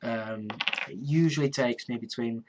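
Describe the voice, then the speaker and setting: A man, chatting casually on a live stream and answering comments. His nose is blocked and sniffly, and he rambles, pausing on ums and restarting his sentences. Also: medium pitch, background noise. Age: 20s. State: congested, sniffly.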